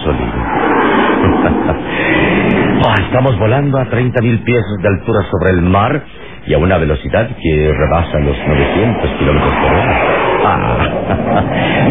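Very deep, serene radio hero. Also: male voice, big pitch swings, full character voice. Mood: serene, savoring. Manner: unhurried, chuckling, savoring words. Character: calm heroic adventurer man, serene wise mentor. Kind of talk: vintage radio drama acting. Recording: old lo-fi radio recording, heavy noise.

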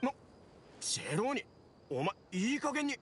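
An actor playing an impulsive, defensive young worker in an anime game, gruff and sputtering. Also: male voice, extremely high pitch, sing-song, normal speed, a little hiss or hum. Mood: indignant, defensive. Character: hot-headed, impulsive young worker brother.

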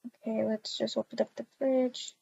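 Woman, high voice, game roleplay acting, dry and slightly dull sound. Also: little pitch movement, moderate pace, gravelly, mellow, breathy edge, no room sound. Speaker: roleplay video creator.

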